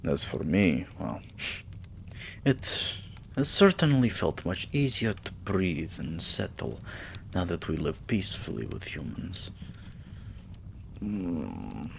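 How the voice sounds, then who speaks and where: A man playing a regal, possessive, doting vampire king in an intimate ASMR roleplay, with lordly, drawn-out phrasing and murmurs. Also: low-pitched, big pitch swings, vocal fry, a little hiss or hum, heavily acted. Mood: fond, commanding.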